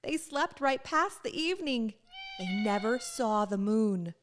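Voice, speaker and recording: A woman reading a children's storybook aloud with expressive, sing-song emphasis. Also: a little high, unhurried, a little hiss or hum. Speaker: children's book reader.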